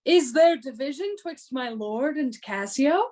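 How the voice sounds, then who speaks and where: A woman's voice: high voice, expressive, a little slow, darkish tone. A woman playing a courteous, dignified noble envoy in a Shakespearean radio drama, with a formal manner.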